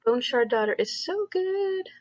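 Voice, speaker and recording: Nasal woman with a lively, bouncing voice. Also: sits high, unhurried, dry recording. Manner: laughs often, searches for words. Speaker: host of a reading livestream. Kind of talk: casual chat between friends. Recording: dull, muffled audio.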